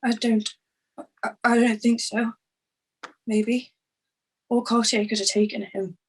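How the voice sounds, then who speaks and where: Woman playing a shaken young witness, husky voice, halting, grieving, screenplay drama read. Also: fairly high pitch, flat delivery. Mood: distressed, unsure. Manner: halting, faltering delivery.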